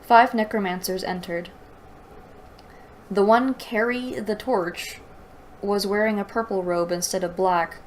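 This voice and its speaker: A woman's voice: mid-range voice, fairly even intonation, a little slow, pauses often, a little hiss or hum, low-quality recording. A woman reading a story aloud and breaking in with sarcastic, exasperated commentary. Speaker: host reading and critiquing a fantasy novel aloud.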